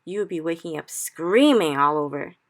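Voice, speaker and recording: A woman's voice: deep voice, lively intonation, moderate pace. A woman voicing a big, friendly male giant who mangles his grammar and cries out in anguish over a caught nightmare. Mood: earnest. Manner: ungrammatical made-up words, loud anguished exclamations.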